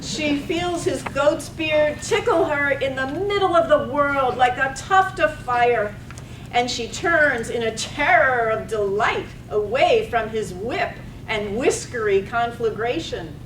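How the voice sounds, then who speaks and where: High, slow female narrator. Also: expressive, a bit bright. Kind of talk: dramatic reading of poetic radio drama narration. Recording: roomy, distant microphone, faint rumbly noise.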